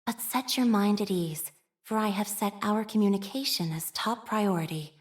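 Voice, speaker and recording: A woman acts out a young priestess of a data faith for a video game. She is humble and shy, with a mid-pitched voice. Her delivery is formal and measured, like scripture recited by someone who prefers machines to people. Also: lightly acted. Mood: solemn, reverent. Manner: formal, measured, liturgical phrasing with hesitant shyness. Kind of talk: scripted game character voice lines.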